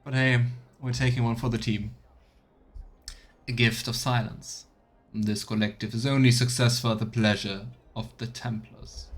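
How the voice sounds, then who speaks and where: A man's voice: mid-range voice, little pitch movement, a little slow. A man streaming a fantasy role-playing game, reading text aloud and chatting in a playful, self-questioning way.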